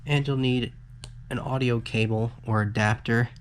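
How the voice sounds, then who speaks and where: A man's voice: medium pitch, steady tone, normal speed, a little hiss or hum. A man giving a casual hands-on electronics tutorial, informal and muttering the odd aside as he works. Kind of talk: casual hands-on how-to narration.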